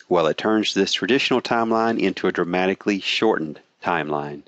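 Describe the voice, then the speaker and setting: A man with a very low voice narrates a scripted product pitch, steady and even from line to line. The recording is dry and close, with a slightly dull, muffled top end. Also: no room sound. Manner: steady, even sales delivery. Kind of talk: scripted promotional voice-over.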